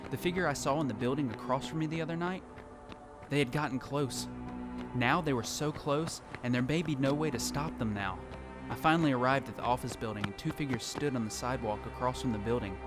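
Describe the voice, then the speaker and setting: A man's voice: medium pitch, a little fast, close to an everyday voice. A man playing a lone apocalypse survivor who tells his story in earnest, dramatic first-person narration for an audio drama. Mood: uneasy, panicked. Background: music.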